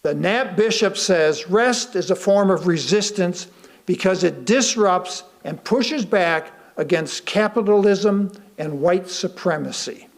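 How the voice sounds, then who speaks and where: A man reading a sermon aloud to a congregation, deliberately, pausing between short phrases. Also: high-pitched, expressive, gravelly. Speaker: guest speaker reading a sermon.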